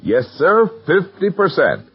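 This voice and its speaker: An emphatic male announcer in vintage 1940s radio style, selling with hugely varied intonation. His medium-pitched voice is a bit husky, on a muffled old recording. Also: sing-song, unhurried, soft background music. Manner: emphatic, salesmanlike. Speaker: radio announcer and sponsor spokesman.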